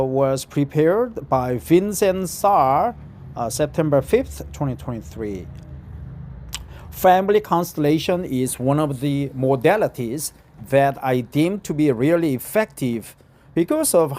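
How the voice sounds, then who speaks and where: A middle-aged Korean man with a Korean accent, reading an article aloud in English to practice, halting with many fillers and repeating phrases. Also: on the high side, darkish tone. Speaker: vlogger.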